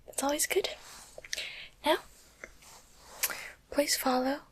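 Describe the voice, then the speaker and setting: A woman playing a calm, courteous demon doctor in a whispered ASMR exam roleplay, giving slow, gentle, attentive instructions in a soft, breathy voice. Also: high-pitched. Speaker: ASMR performer.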